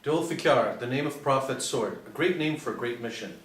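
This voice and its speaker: A man's voice: mid-range voice, lively intonation, brisk, a bit bright, close to an everyday voice. A man playing a cool, sly undercover pilot in a screenplay read, speaking in a clipped, insinuating, matter-of-fact way. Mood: conspiratorial. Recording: echoey room, distant mic, faint noise.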